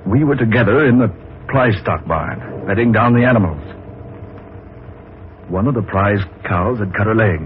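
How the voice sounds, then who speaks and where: An actor playing an agitated, obsessed farmer in an old-time radio drama, with intense, urgent delivery. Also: male voice, fairly low pitch, lively intonation, moderate pace, long pauses, natural voice. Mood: haunted recollection. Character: agitated male farmer obsessed with a prophetic dream. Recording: scratchy, narrow-band old radio broadcast.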